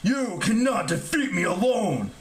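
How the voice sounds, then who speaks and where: A man's voice: high voice, sing-song, measured pace, a little hiss or hum, voice pushed up. A man voicing a menacing, vengeful sea giant, drawing out growled and bellowed threats in a storybook reading. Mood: furious growl.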